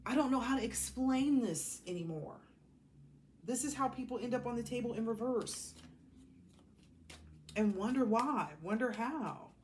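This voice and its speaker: A woman's voice: a little high, animated, measured pace, pauses often, a little hiss or hum. An American woman giving a casual, rambling tarot card reading in a blunt, sassy, colloquial way.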